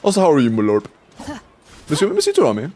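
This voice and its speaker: A man's voice: fairly high pitch, huge pitch swings, fast talker, darkish tone, slight background noise. Stuffy young man chatting on stream. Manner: rambling, restarts, swears casually, laughs often. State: stuffy, congested from sinuses. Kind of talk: casual livestream chat with viewers.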